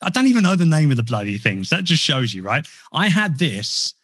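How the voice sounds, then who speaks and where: A man's voice: on the high side, big pitch swings, brisk, a bit bright, husky, no room sound. Man, podcast guest, casual rambling talk, dry close mic. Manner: chatty, self-deprecating, rambling with restarts.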